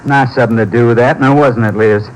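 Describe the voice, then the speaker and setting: An actor playing a bitter, quick-tempered ex-convict husband in a vintage American radio drama, with folksy, ungrammatical speech. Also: male voice, mid-range voice, steady tone, natural voice. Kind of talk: 1940s-50s radio drama acting. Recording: noisy old radio recording.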